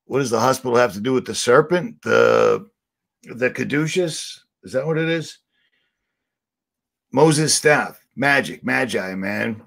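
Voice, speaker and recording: A man with a raspy voice, ranting sarcastically and rambling on a livestream as the channel host. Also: mid-range voice. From Boston area. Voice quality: raspy, gravelly.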